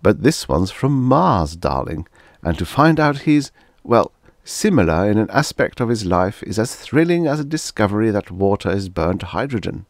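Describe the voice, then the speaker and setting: Man voicing an excitable, pompous professor, widely swooping pitch. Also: normal speed, no room sound, studio quality. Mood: wondering, excited. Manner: exclamatory, wondering, pompous. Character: excitable, pompous middle-aged male professor, rhapsodizing. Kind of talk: storybook character reading.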